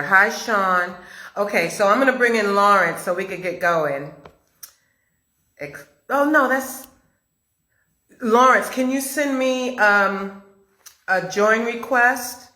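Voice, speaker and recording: A woman hosts a live online chat with a very bright, forward voice. Her pace is unhurried and her pitch sits mid-range. She talks casually and effusively, gushing as a host does on a video call. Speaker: host of a live chat interview, audio drama maker.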